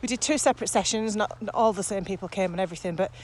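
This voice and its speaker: A woman with a mid-pitched voice talks in a casual, enthusiastic way as a podcast co-host. She is walking outdoors, with faint ambient noise behind her. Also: rapid-fire. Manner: enthusiastic, animated about the subject. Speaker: podcast co-host and virtual training coach. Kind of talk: casual conversation recorded while walking. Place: outdoors, walking on a muddy path.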